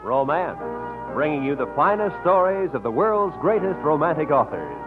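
A man's voice: a little high, sing-song, moderate pace, narrow, tinny audio, over music. An American man announcing in a polished, emphatic vintage radio style, as for a sponsor's message. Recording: thin, band-limited old radio recording.

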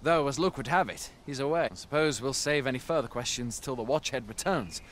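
A man's voice: mid-range voice, fairly quick, a little hiss or hum, close to an everyday voice. A man playing an armored soldier, wary but helpful, in a fantasy game with archaic, theatrical phrasing. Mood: puzzled.

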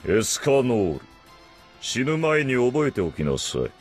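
An actor playing a supremely arrogant, stately knight in an anime game, speaking with pompous, deliberate politeness and calm menace. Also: male voice, medium pitch. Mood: haughty calm. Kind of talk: Japanese anime game voice acting. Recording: music behind the voice.

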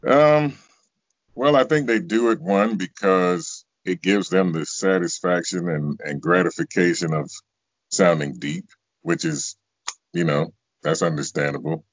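A middle-aged American man with a very deep bass voice, chatting casually as an interview guest on a video call. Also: expressive, a little slow, no room sound. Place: small room at home, indoors. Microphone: video call, close to device. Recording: dull lo-fi video call audio.

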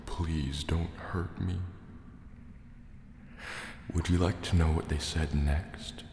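A man voicing a large, menacing, distrustful sea serpent dragon in a slow, deliberate, soft-spoken ASMR roleplay. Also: extremely low pitch, steady tone, exaggerated voice. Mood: cold menace. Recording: echoey cave reverb, faint noise. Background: water and cave ambience.

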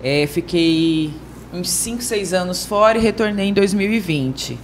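A woman's voice: on the low side, animated, unhurried, a little hiss or hum. A young Brazilian woman casually introducing herself as a podcast guest. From Brazil, Rio de Janeiro and Mato Grosso do Sul. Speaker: guest, samba singer. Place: small wood-panelled TV studio, indoors. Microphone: handheld microphone.

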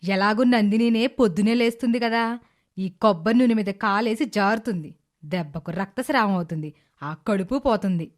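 A woman playing a cruel, scheming middle-aged stepmother in an animated moral story, scornful and sarcastic. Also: medium pitch, moderate pace, natural voice.

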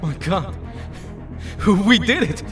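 A woman playing an excitable young superhero in an action audio drama. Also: medium pitch, slow, music in the background. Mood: astonished.

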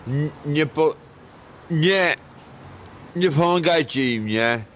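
A middle-aged man from Poland, high and rambling, swearing a lot in a casual talk on a city street at night. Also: animated, takes it slowly, a bit bright, a little hiss or hum. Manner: crude and sweary, rambling, mixes in broken English. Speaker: homeless man interviewed on the street. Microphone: vlogger's handheld camera mic.

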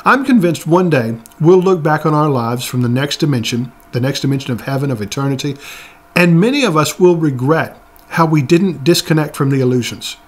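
A man's voice: on the high side, lively intonation, a little fast. A man in his forties giving an earnest, exhorting Bible teaching to camera in a room lined with bookshelves, often restarting words.

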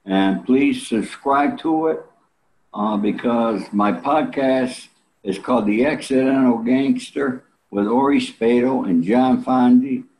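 An elderly man talking casually and bluntly as an interview guest, salty and pausing often, on a video call from a room at home. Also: medium pitch, darkish tone, poor audio. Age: 70s or older. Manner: blunt, salty, with frequent pauses.

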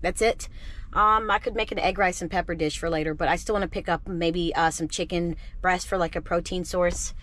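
A woman's voice: fairly low pitch, fairly even intonation, a little fast, a bit bright. A woman in her thirties chatting casually to a handheld camera from her van and around the city, self-assured and talkative. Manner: chatty, self-assured, calls herself "your girl". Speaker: van-life vlogger. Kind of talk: casual vlog chat to camera. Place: inside a parked van and outdoors in a city lot, also in a store. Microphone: handheld camera at arm's length.

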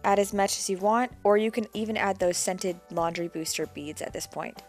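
Young woman, instructional voice-over, practical and steady, faint music behind. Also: medium pitch, moderate pace. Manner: plain, practical, walks through each step. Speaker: DIY homesteading vlogger.

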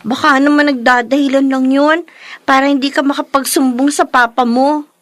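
A young female friend in a radio drama. Her voice is high and closely recorded in a dry space. She sounds sympathetic, supportive and consoling. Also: steady tone, normal speed, a bit bright, dry recording. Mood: concerned, indignant. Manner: concerned, consoling.

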